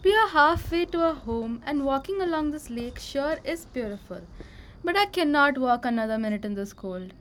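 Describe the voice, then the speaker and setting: High-pitched young actress as vain young wife, anxious, dreamy, plaintive, emotional, amateur radio drama. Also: female voice, animated, moderate pace, fairly bright tone. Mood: haughty, complaining. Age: young adult.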